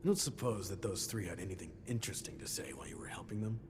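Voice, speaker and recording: An actor voicing a composed male vault overseer in a video game, measured and businesslike. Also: sits low, expressive, noisy background.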